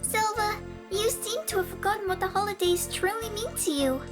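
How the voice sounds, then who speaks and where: A little unicorn spirit with a very high, small, girlish voice. The creature speaks gently and knowingly, as a character in a cartoon-pony audio story. Music plays clearly underneath. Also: lively intonation, normal speed. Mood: calm, knowing. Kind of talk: audio fan fiction character acting. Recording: music behind the voice.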